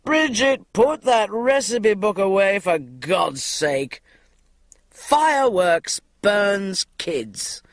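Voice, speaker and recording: Woman reader as brusque shouting male boss, dry close sound. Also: mid-range voice, expressive, very slow, dry recording, voice pushed up. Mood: bellowing. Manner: yelling, brusque and crude.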